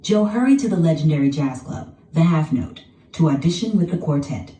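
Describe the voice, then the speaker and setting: A woman's voice: low-pitched, lively intonation, a little fast, dark tone. A woman reading scripted children's story narration as a storybook narrator. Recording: roomy, distant playback with music behind.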